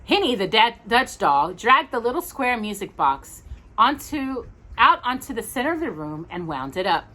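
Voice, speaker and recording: A woman reading a storybook aloud for children, expressive and gentle, with chatty asides. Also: fairly high pitch, normal speed.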